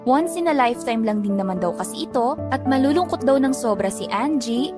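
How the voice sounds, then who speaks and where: Young Filipino female storyteller played by an actress, reflective scripted narration, fairly high voice, music bed. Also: lively intonation, brisk, natural voice. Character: young woman narrating her own love life, reflective.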